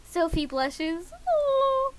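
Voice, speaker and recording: Woman, very high voice, slow pace, excitable, casual game streamer reading aloud. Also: a little hiss or hum. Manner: excitable, gushing, giggly. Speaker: livestreamer reading a visual novel aloud.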